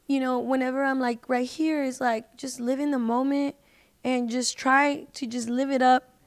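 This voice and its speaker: High-voiced woman chatting casually. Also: little pitch movement, normal speed, no echo. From United States. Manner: informal, slangy, emphatic with "like" and "literally". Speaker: podcast guest, independent singer. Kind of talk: casual chat.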